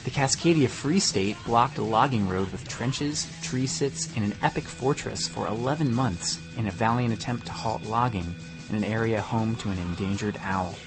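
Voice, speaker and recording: A man's voice: lowish voice, moderate pace, over music, low-quality recording. A man reading scripted documentary narration for a podcast.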